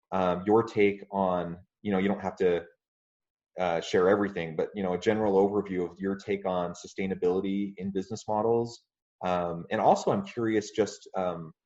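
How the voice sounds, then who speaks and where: A man hosting a podcast interview, speaking in a friendly, measured way with frequent fillers. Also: sits low, a little mellow, dull audio. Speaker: podcast host.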